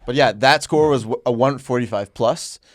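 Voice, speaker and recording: A young man whose first language is Dutch, answering questions calmly and earnestly, often stumbling over restarts. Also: medium pitch, dry recording, clean studio sound. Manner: calm, measured, earnest with stammered restarts. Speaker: interview guest. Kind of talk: casual conversation.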